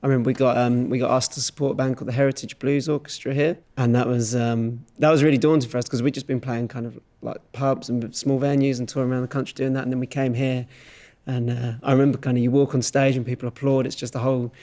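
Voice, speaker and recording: A man's voice: mid-range voice, level tone, fast, warm tone. A man, a musician, chatting casually and reminiscing in an interview. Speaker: folk musician.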